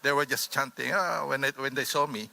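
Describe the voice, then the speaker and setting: Bright-voiced Filipino man on a panel. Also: mid-range voice, a little fast, gravelly. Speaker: author on a discussion panel. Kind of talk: informal panel discussion, anecdotal.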